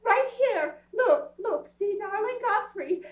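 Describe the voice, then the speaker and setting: Very high-voiced, fluttery society mother. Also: lively intonation, slow, heavily acted. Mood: coaxing. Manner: gushing, theatrical. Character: fluttery, scatterbrained wealthy society mother, doting and dramatic. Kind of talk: staged radio play reading. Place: theatre stage before an audience. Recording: echoey stage, far mic, muffled.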